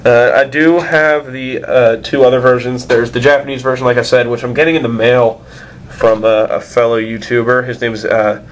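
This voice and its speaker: A man's voice: mid-range voice, steady tone, moderate pace, a little hiss or hum, rough recording. A man with a stuffed-up nose from a cold, casually reviewing an action figure with many fillers and restarts. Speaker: toy reviewer.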